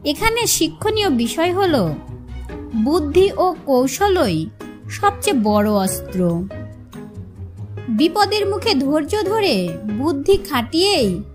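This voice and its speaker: A woman's voice: high voice, sing-song, slow pace, long pauses, soft background music. A woman narrating scripted moral stories for children. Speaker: storyteller.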